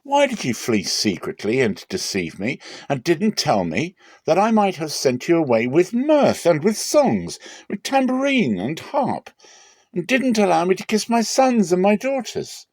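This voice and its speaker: A man's voice: wildly swooping pitch, unhurried, no echo, exaggerated voice. A man voicing an indignant older man confronting his son-in-law in a dramatic scripture reading, accusing and strained. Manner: accusing, loud, strained high voice.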